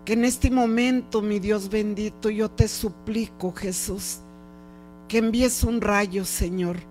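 Slow, pleading prayer leader over music. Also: medium pitch, steady tone, a little mellow. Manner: pleading, devotional, in short repeated phrases. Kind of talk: fervent extemporaneous spoken prayer.

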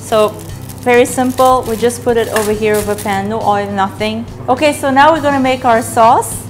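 A young adult woman hosts a cooking show, calmly walking through the recipe step by step, recorded indoors in a kitchen studio on a lapel mic. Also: fairly high pitch, moderate pace. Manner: relaxed, step-by-step instructional. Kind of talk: cooking demonstration. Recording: studio cooking show with music under the voices. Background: music, clinking pans and cutlery.

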